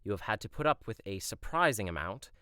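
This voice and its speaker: A man's voice: on the low side, sing-song, fast talker, pushed and bright, smooth, dry recording, clean studio sound. A man playing a courteous, earnest young prince in an amateur fairytale audio drama, polite and slightly formal.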